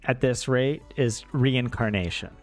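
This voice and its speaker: A man's voice: mid-range voice, measured pace, faint music behind. A man from the American South chatting casually on a livestream in a rambling, self-deprecating, joking way. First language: English. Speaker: streamer learning Finnish.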